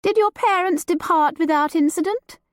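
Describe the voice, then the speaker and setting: A woman's voice: very high voice, moderate pace, no room sound, studio quality, pitched above the performer's own voice. A woman voicing a flighty, romantic young noblewoman with a lilting, gossipy manner. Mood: chatty.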